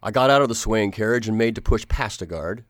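An actor playing an earnest, determined gentleman hero in a radio drama, speaking gravely and formally. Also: lowish voice, a little fast, no echo, studio quality, close to an everyday voice. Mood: grave, suspenseful. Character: earnest, determined gentleman hero recounting his perilous adventure. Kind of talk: radio drama narration and acting.

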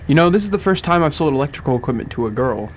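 Man acting fast-talking patronizing shop clerk. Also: fairly high pitch, expressive, slight background noise, natural voice. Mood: eager, condescending. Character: chatty, patronizing 1920s electrical shop clerk. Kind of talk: old-time radio drama acting.

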